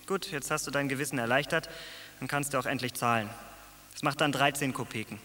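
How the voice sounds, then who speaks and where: A man's voice: mid-range voice, steady tone, a little fast, sharp tone, big-room sound, natural voice. A man playing an earnest young fisherman. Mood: wry. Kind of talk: radio drama acting.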